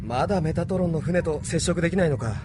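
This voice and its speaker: Man acting as earnest young space hero, lively pitch. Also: fast talker, heavy background noise, lightly acted. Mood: queasy, uneasy. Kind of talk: Japanese anime audio drama acting.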